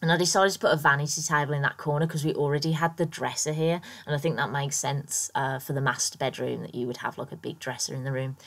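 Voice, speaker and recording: A woman with a croaky morning voice, chatting through her game build in a rambling, casual voiceover full of ums and small laughs. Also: deep voice, fairly even intonation, fast, few pauses, a bit bright. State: croaky, just woken up. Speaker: Sims builder YouTuber.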